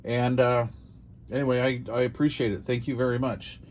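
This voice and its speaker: A man's voice: mid-range voice, level tone, moderate pace. An older American man chatting casually as he hosts a hobby unboxing video, rambling along in a folksy, self-deprecating way.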